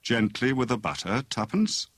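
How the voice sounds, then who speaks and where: Man acting a pompous, jovial husband with animated delivery. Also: mid-range voice, moderate pace, no echo, pitched above the performer's own voice. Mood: weary protest. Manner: teasing, mock-grand, pontificating. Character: jovial, pompous husband playing amateur Sherlock Holmes.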